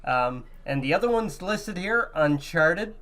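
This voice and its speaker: Male gaming podcast co-host, slightly high register, relaxed informal conversation. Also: measured pace. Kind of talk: casual gaming podcast chat.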